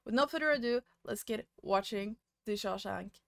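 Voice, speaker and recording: A young woman hosting a movie reaction video from her bedroom, her voice a little raspy from just waking up, chatting casually and exclaiming at scenes. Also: mid-range voice, normal speed, a little hiss or hum. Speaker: movie reaction channel host. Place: small home bedroom studio. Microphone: studio mic on arm, headphones.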